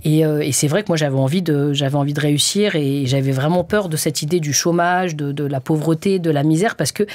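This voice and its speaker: A woman's voice: low voice, brisk, hardly any pauses, darkish tone, no room sound. A woman in her forties from France, a guest conductor answering interview questions in an earnest, hesitant way with many fillers. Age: 40s. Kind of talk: casual interview talk.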